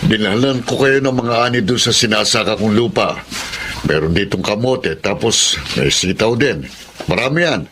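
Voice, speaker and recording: An actor playing a kindly, frank middle-aged farmer uncle in a Filipino radio drama, speaking in a folksy, probing way. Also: male voice, fairly low pitch, animated, normal speed, a bit bright, slight background noise. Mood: kindly concern.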